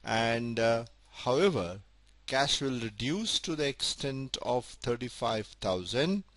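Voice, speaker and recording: A man's voice: mid-range voice, lively intonation, a bit bright, dry recording. Methodical male lecturer, dry close sound. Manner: methodical, repeats key points slowly. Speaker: accounting lecturer. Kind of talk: recorded spreadsheet lecture.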